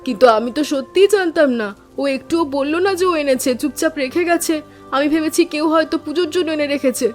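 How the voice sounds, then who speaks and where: A caring older village aunt played by a woman speaks in a fairly high, tender voice, with music playing underneath. Her delivery is measured and homely, like an affectionate elder in a cartoon tale who frets over her family. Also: close to an everyday voice. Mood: troubled, reflective. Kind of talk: animated story dialogue.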